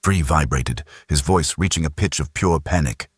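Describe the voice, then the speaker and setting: A man narrating a scripted science fiction audiobook in a measured, dramatic way. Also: very deep voice, breathy edge, dry recording, studio quality.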